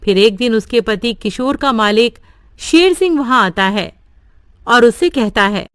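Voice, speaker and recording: A woman narrating a cartoon story in a plain, steady way. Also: a little high, a little slow, clear, resonant tone, no room sound, clean studio sound. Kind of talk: scripted narration.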